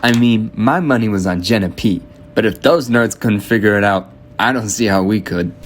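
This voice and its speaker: A man's voice: moderate pace, lightly acted. A man playing a sassy, gossipy, catty teenage debater in an amateur radio drama, chatty in his delivery. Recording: clear background noise with low rumble.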